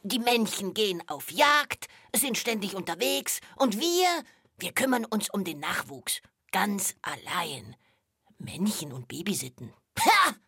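Eine Frau spielt für einen Kinderpodcast eine kichernde, aufgeregte Hyäne mit rauer Stimme, die stammelt und alberne Witze erzählt. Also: female voice, mid-range voice, big pitch swings, measured pace, sharp tone, husky, dry recording, clean studio sound, heavily acted. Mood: indignant, scoffing. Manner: stammering, cackling, exaggerated delivery. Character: giggly, excitable female hyena who tells corny jokes. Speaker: comic sidekick of a children's podcast. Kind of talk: children's podcast comedy.

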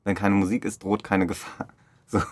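A man's voice: fairly low pitch, little pitch movement, moderate pace, darkish tone, no room sound. A man in a casual, reflective interview, talking as a director in loose, informal phrasing. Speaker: radio play director interviewed.

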